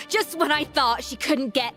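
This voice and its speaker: An actress playing an indignant, righteous young heroine in a video game, speaking sternly and emphatically. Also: female voice, high voice, fairly bright tone, heavily acted. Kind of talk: dramatic video game voice acting.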